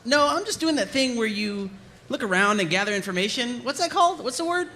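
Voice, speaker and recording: A man's voice: very high voice, expressive, fairly quick, sharp tone, slight background noise, exaggerated voice. A man playing a cocky, evasive slacker soldier in a comedic table read, speaking casually and a little defensively.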